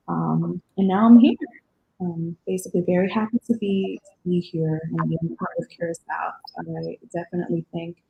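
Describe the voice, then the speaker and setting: A woman speaks about her work, earnest and dotted with ums. Her voice sits fairly low for a woman. The room around her rings noticeably. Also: dark tone, echoey room, rough recording. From Dominican Republic. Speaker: physician guest. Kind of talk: podcast interview.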